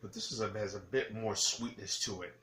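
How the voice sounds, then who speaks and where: Low-voiced man rambling casually, echoey room. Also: bright, forceful tone. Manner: halting, rambling, self-correcting. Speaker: beer review vlogger, host. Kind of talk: casual rambling review chat. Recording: roomy echo with clear background noise.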